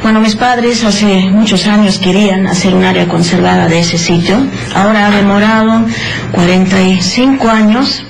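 A woman from Peru, a biologist, speaking as a guest in a radio studio interview at a desk microphone, calm and measured with slightly halting phrasing. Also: medium pitch, vocal fry, slight background noise, low-quality recording.

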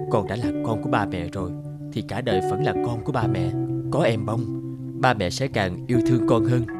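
A man playing a caring, reassuring father in an animated drama. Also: medium pitch, close to an everyday voice. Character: caring father reassuring his adopted child. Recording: loud music under the voice.

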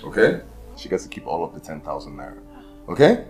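Callous, domineering Nigerian male employer, animated and blustering, echoey room with music behind, film drama. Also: rumbling low, fairly quick, heavily acted. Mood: cold, dismissive. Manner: blustering, repeats himself insistently.

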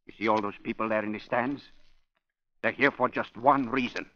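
A man's voice: mid-range voice, steady tone, normal speed, dry recording, lightly acted. An actor playing a domineering, coaxing, touchy young bat boy, insistent and commanding, in 1930s radio style. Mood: hypnotic, demanding. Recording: muffled old 1930s radio recording.

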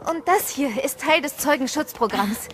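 An actress playing a tough, urgent witness on the run in a German audio drama, speaking tersely. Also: female voice, sits high, animated, normal speed. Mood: tense, urgent. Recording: clearly noisy ambient effects behind voice.

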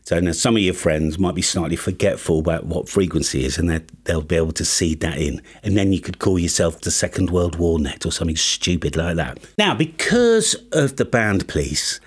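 British bloke in his 50s, deepish lively voice, giving mock serious tips in a home studio. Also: fairly quick, few pauses, breathy edge. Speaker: video host. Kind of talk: tongue-in-cheek instructional talk to camera. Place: small home studio with acoustic panels.

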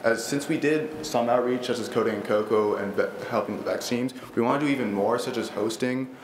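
A man's voice: medium pitch, a little fast. A teenage boy presenting his robotics team to a school board at a lectern, eager and earnest, with frequent fillers and restarts. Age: teens. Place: large school library meeting room, indoors. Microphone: standing at a lectern microphone. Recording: echoey room with faint background noise.

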